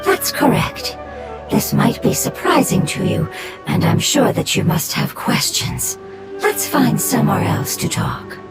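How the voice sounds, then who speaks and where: Tiny formal fungus critter, high and sing-song, heavy game music behind. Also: female voice, big pitch swings, creaky, full character voice. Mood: polite. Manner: formal, measured, oddly literal. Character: small polite talking fungus creature, formal and precise. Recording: game audio over loud music.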